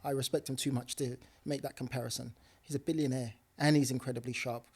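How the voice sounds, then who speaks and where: A man's voice: mid-range voice, steady tone, fast, a little mellow, breathy edge. A young man with slangy speech, a property investor talking animatedly on a podcast, repeating himself and laughing often. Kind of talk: casual podcast conversation.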